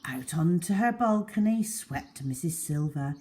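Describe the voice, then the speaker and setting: A woman reading a children's story aloud as a storyteller, deliberate and dramatic in her delivery. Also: low voice, expressive, warm tone.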